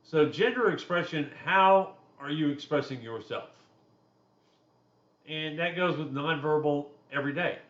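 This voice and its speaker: A man's voice: a little slow, fairly bright tone, reverberant, lo-fi sound. A man, giving a recorded class lecture in a loose, conversational way with personal asides. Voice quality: deep voice. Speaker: instructor.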